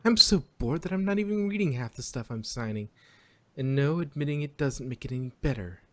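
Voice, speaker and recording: A man's voice: mid-range voice, expressive, a little fast, pushed and bright. A man voicing a stressed, irritable young female pony princess in an amateur audio drama, with emphatic exasperation. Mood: exasperated.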